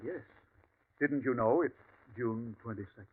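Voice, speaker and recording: An actor playing a doctor who is secretly a Martian agent, calm and coldly polite. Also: male voice, mid-range voice, moderate pace, slightly rough, natural voice. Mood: calm menace. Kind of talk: 1940s radio acting. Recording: tinny old radio broadcast.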